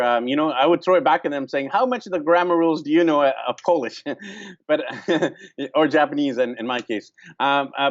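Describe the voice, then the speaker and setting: A man hosts a relaxed explanatory chat, his voice sitting at an ordinary middle pitch. He often pauses with ums and restarts his sentences. The recording sounds slightly dull and muffled. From Vancouver, Canada. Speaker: host. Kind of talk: casual explanatory talk.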